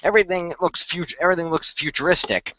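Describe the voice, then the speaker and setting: Male narrator, improvised radio play, halting delivery, bright forceful tone, slightly muffled dry recording. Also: on the high side, no echo. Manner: halting, restarts phrases.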